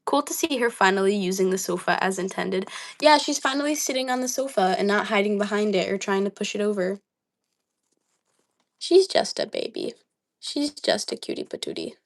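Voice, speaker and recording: A woman's voice: medium pitch, expressive, moderate pace. Casual young woman livestreamer, voice a little dry and worn, reading comments and chatting. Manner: chatty, reads comments aloud, many ums and likes. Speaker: livestream host.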